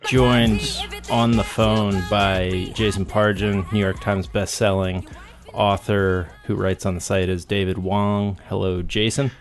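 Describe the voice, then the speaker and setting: A man's voice: lowish voice, flat delivery, a little slow, faint music behind. A man hosting a casual podcast chat, joking around and laughing often. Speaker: podcast host.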